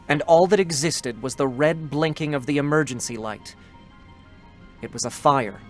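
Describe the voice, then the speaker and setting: A man's voice: fairly high pitch, fairly bright tone. A man reading scripted story narration in a dramatic style, as the narrator.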